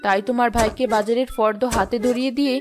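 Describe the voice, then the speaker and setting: Woman voicing busy cartoon mum, village housewife, raised pitch, no-nonsense, mildly scolding, music in background. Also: fairly even intonation, quick pace, lightly acted. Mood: practical. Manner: practical, no-nonsense. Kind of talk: cartoon voice acting.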